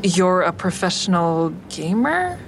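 A woman's voice: on the low side, sing-song, measured pace, over music. A woman playing an awkward, smitten survivor who narrates her own story in an audio drama, confiding and self-deprecating. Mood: guarded, confiding.

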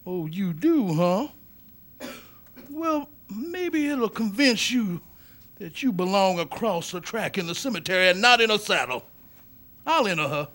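An actor playing a brusque, skeptical racehorse owner in an old-time radio play. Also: male voice, high voice, very animated, a little slow, fairly bright tone, exaggerated voice. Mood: scoffing. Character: gruff skeptical middle-aged male racehorse owner. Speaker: horse owner. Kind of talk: 1940s radio acting.

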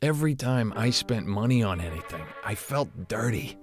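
An actor playing an older, guilt-ridden retired corporate executive who confides haltingly, as in an audio drama interview. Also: male voice, fairly low pitch, expressive, music in the background, lightly acted. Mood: guilty, confiding. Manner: hesitant, confessional, halting.

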